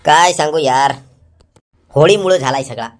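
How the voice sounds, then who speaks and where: A man's voice: fairly high pitch, normal speed, background noise, natural voice. A man voicing a battered, sheepish young village man in a comic cartoon, rambling and repeating himself in a rural Palghar Marathi accent. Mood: aggrieved. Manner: rambling, repetitive storytelling. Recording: loud music behind the voice.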